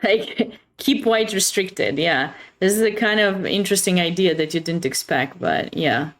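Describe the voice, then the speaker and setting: A woman's voice: lowish voice, a little slow, rough recording. A woman with a Romanian accent hosting a chess livestream, chatting casually and expressively with viewers between bits of commentary.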